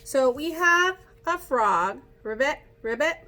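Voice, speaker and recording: A woman reading a picture book aloud like a teacher, pausing to explain and ask young children questions. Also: high-pitched, expressive, sharp tone. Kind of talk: interactive children's read-aloud.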